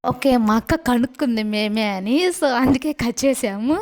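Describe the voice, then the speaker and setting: A woman's voice: on the high side, moderate pace, a little mellow. A woman hosting a casual vlog, narrating prank calls to the viewers. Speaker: vlog host.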